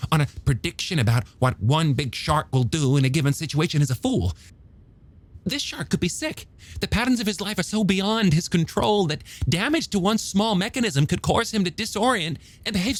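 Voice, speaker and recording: A man's voice: on the high side, pitched above the performer's own voice. A man playing an eager, bookish young male scientist in an audiobook, stammering a little as he explains things emphatically and testily. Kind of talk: audiobook character dialogue.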